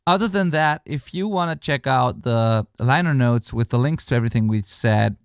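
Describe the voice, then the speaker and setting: A man's voice: medium pitch, normal speed, creaky, smooth, dry recording, clean studio sound. A man hosting a podcast, speaking English as a non-native speaker in an earnest, rambling way with frequent restarts. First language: not English. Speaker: podcast host, mixing engineer. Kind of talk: podcast outro monologue.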